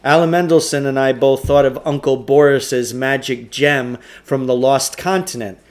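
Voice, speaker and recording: A man's voice: mid-range voice, steady tone, moderate pace. A man reading a children's novel aloud as storybook narration. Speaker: audiobook reader.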